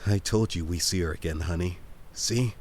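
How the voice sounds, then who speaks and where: Guy doing a chill supportive boyfriend in an audio comedy, kinda low voice. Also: moderate pace, darkish tone, a little hiss or hum, natural voice. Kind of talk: comedy audio drama acting.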